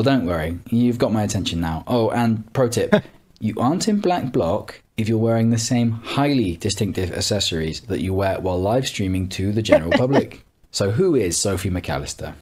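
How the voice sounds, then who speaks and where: A man narrates a scripted commentary in a low, husky voice, his delivery pointed and sarcastic. His pitch swings widely as he builds each accusation, and the tone stays dark and mellow. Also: very animated, normal speed. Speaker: narrator of a call-out video.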